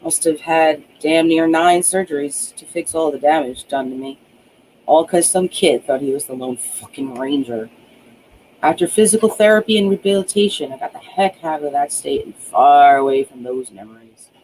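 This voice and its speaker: A man's voice: a little high, moderate pace, a little mellow, lightly acted. Guy reading as tired ex-cop hero in an audiobook, protective, sardonic, plain talking, bit noisy. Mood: weary, candid. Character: world-weary male ex-cop guard, protective, sardonic.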